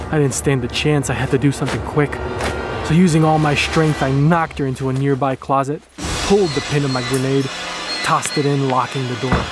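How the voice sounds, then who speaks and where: A man playing a grim, hardened wartime soldier, gravely narrating a horror audio drama. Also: mid-range voice, lightly acted.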